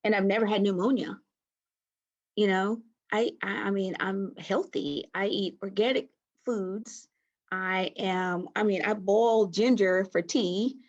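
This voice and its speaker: Soft-spoken woman on a video call. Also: mid-range voice, fairly even intonation, unhurried, dull audio. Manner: soft-spoken here, though loud by nature. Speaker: guest. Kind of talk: casual interview over video call. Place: small room indoors. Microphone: webcam on a video call.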